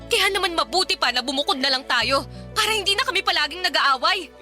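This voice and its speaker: A woman's voice: high-pitched, animated, fast, sharp tone, soft background music, full character voice. A woman playing an exasperated, nagging young wife and mother in a Tagalog radio drama, complaining emphatically.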